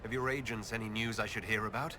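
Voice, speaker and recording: A man playing a courteous elf leader in a video game, measured and inquiring. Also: lowish voice, fairly even intonation, close to an everyday voice. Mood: friendly. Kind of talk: video game character dialogue. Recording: clear background noise and ambience.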